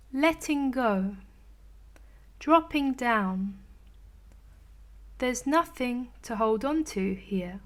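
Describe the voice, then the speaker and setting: A woman leading a guided meditation in a soothing, gentle, unhurried manner with long pauses between phrases. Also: on the high side. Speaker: meditation teacher.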